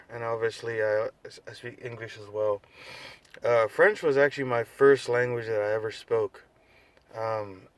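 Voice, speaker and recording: A man's voice: medium pitch, clear, resonant tone, no room sound. A tired young man from the United States vlogging casually, rambling with lots of fillers and restarts. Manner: laid-back, rambling, many fillers and restarts. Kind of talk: unscripted casual vlog.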